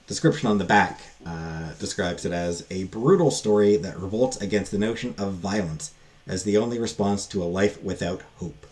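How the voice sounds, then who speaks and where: Halting, low-voiced man reviewing casually. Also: a little mellow. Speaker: book reviewer. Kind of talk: casual unscripted book review.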